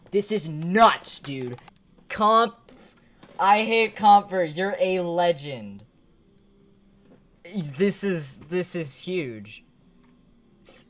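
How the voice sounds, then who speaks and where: Excitable young man streaming, slow-paced. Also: high-pitched. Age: young adult. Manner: excitable, sweary, slangy. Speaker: video game streamer. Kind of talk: live reaction commentary, casual chat. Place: indoors, small home room.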